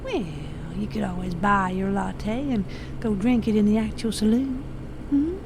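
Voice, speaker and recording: A woman playing a gentle, practical, folksy country woman in a comedy audio drama. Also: mid-range voice, measured pace. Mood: helpful, reassuring. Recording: clear ambient vehicle noise behind.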